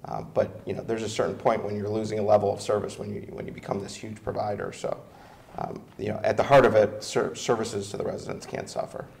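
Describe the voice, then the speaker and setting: Gravelly, halting American man. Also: lowish voice, level tone, a little mellow. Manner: halting, tentative. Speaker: city council candidate. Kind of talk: informal answer at a candidates' forum.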